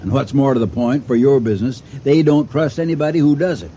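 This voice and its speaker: An actor playing a calm, shrewd elderly farmer in an old-time radio drama, speaking in a measured, courteous but firm way. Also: male voice, medium pitch, highs cut off, slight background noise, lightly acted. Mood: dryly warning. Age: older. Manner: measured, courteous, dryly admonishing.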